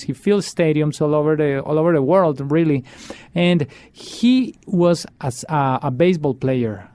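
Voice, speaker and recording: Mellow dry-voiced man in studio interview. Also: fairly high pitch, no echo. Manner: warm storytelling with fillers and restarts. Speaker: musician guest. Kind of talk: interview answers. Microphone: studio microphone.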